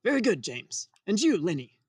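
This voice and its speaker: A woman playing a fussy, nervous gentleman architect with a formal, flustered manner in a radio comedy sketch. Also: medium pitch, very animated, moderate pace, husky, no echo, clean studio sound. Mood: bossy, composed.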